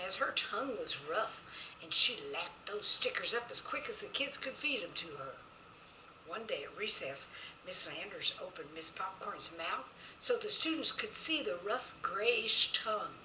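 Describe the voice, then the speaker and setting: Female storyteller, very bright sharp voice, expressive folksy read, roomy distant mic. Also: medium pitch, a little hiss or hum. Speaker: children's author reading own story.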